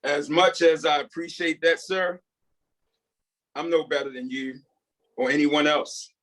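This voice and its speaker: A man reading the part of a repentant, humble prison inmate, earnest and deferential, in a halting script table read. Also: on the high side, fairly even intonation, fairly bright tone. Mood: humble, resolute. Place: video call.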